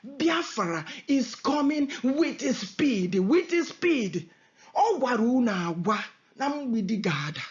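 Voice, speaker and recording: High-voiced Nigerian Igbo woman preaching fervently. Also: sing-song, takes it slowly. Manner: emphatic, repetitive, declamatory; switches between Igbo, English and Pidgin. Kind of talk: fervent prophetic sermon and prayer. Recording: dull lo-fi audio.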